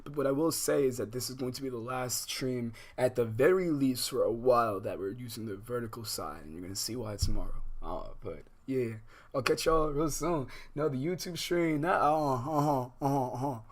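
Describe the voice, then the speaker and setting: A man chatting casually to livestream viewers in a slangy, halting, rambling way. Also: mid-range voice. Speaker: livestream host.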